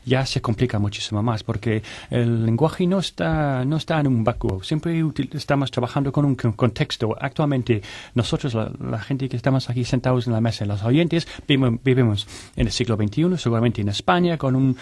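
A man's voice: mid-range voice, brisk, darkish tone, dry recording. Guy running an innovation centre, talks it through, restarts words a lot, dry studio sound. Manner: explanatory, restarts words often. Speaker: director of a technology innovation centre. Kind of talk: round-table discussion. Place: studio table with other guests.

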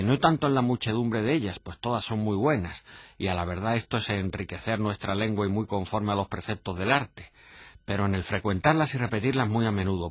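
Deep husky man lecturing. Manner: hesitant, halting, self-repeating, didactic. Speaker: literature professor. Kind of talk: unscripted academic radio lecture. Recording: muffled, echoey lo-fi radio recording.

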